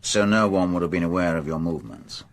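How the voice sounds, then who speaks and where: An actor playing a methodical police inspector in a radio crime drama, asking measured, polite, probing questions. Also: male voice, deep voice, natural voice.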